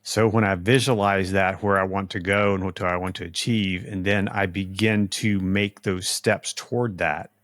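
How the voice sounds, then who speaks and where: A middle-aged man hosting a podcast, speaking slowly and deliberately in a casual conversation. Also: sits low, steady tone, a bit bright, no room sound, studio quality. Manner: slow, deliberate speech, says he talks slowly to avoid stammering. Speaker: podcast host.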